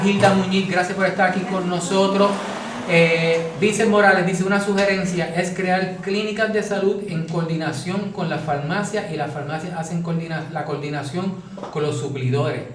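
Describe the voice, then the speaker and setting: A man's voice: sits high, fairly bright tone, far from the mic, slight background noise. A man with a Puerto Rican accent hosting a live-streamed interview, greeting viewers and reading their comments in a friendly way. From Puerto Rico. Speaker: host. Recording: echoey room, voice from off-mic.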